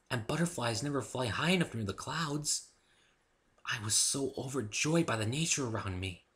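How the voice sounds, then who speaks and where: A man voicing a timid, gentle young female pony, high-pitched. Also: lightly acted. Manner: meek, soft-hearted, apologetic. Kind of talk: amateur dramatic reading of a fan story.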